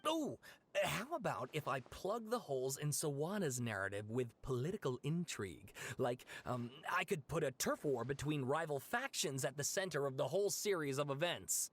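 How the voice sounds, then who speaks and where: A man's voice: fairly high pitch, big pitch swings, normal speed. An actor playing an earnest, fretful young male student in a video game, speaking hesitantly. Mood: pondering. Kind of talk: English video game voice acting.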